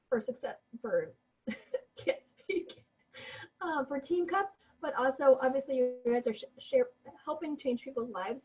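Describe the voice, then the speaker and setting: A woman's voice: sits high, a little mellow, husky, low-quality recording. A woman losing her voice, co-hosting a team video call with upbeat, encouraging talk full of fillers. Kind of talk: casual motivational team talk.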